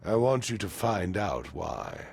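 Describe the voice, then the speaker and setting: A man playing a haughty, commanding patrician in a video game, with formal, pompous and deliberate phrasing. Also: low-pitched, very animated, creaky, exaggerated voice. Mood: guarded, haughty. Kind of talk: video game cutscene acting.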